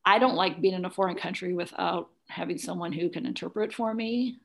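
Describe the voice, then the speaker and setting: Middle-aged female interview guest, gravelly lower register, plain-spoken personal recollection. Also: fairly quick. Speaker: interview guest, adoptive single mother. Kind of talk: conversational interview, recounting personal experience.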